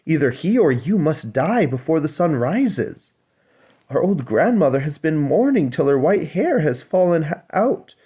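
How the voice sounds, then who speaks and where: A man's voice: fairly high pitch, warm tone, husky, voice pushed up, full character voice. A man voicing urgent, pleading mermaid sisters in a storybook tale, with an insistent, imploring manner.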